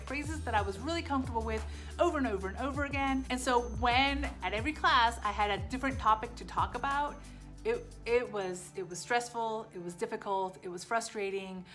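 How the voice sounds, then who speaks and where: A woman's voice: a little high, a bit bright. A woman vlogger whose first language was Japanese, talking through her language-class experience in a candid, self-deprecating way, often repeating short phrases. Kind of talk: casual vlog reflection.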